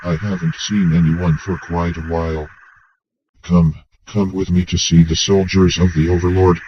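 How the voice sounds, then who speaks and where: A man voicing a tall, rustic old male chieftain who bellows gruffly in a story reading. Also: deep voice, level tone, moderate pace, close to an everyday voice. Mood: proud. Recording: dull lo-fi with hiss.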